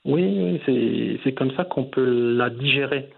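Gravelly-voiced man in his forties. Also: mid-range voice, slow, narrow, tinny audio. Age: 40s. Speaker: interview guest, entrepreneur. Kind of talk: reflective conversational interview answers.

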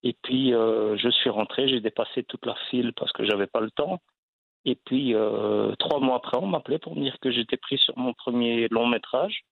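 A man's voice: mid-range voice, moderate pace, creaky, husky, dry recording. A man from Belgium, an actor and guest, telling his story in a casual interview with many fillers and restarts. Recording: dry, phone-like line.

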